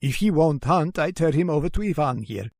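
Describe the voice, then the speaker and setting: A man's voice: fairly high pitch, lively intonation, fairly quick, a little mellow, no echo, clean studio sound. A man acting the part of a genteel, sinister aristocratic general in a radio horror drama, courteous and suave with theatrical menace. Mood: smug, measured.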